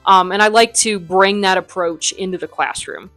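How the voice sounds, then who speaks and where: A woman's voice: mid-range voice, moderate pace, a bit bright, faint music behind. A young adult woman from the United States, a Spanish teacher who runs her academy, answering interview questions in a chatty way full of ums and likes.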